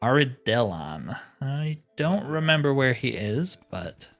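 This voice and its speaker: A man's voice: medium pitch, very animated, slow pace, creaky, faint music behind. A man giving casual, informal commentary on a game playthrough, musing aloud to viewers with mild exclamations. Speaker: gaming channel host.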